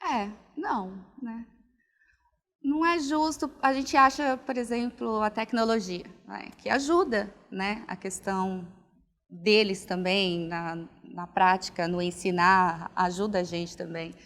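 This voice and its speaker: A woman's voice: mid-range voice, a little slow, a bit bright. A young adult woman from Alagoas, a pedagogue and psychology student, answering interview questions in a reflective, casual way. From Northeast Brazil, Alagoas. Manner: reflective, frequent "né" and restarts. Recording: long hall reverb.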